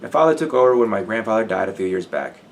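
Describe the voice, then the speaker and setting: A man's voice: on the low side, lively intonation, fast, slight background noise. A man voicing a cocky male special forces operator raised in Wales, speaking bluntly and matter-of-factly. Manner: blunt, matter-of-fact telling.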